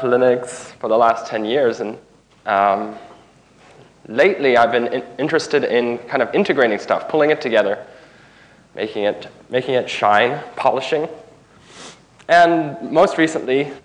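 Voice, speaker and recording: A man's voice: mid-range voice, measured pace, poor audio. A man giving a technical conference talk in a room, stuffed up from a cold, informal and often restarting phrases. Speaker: conference speaker.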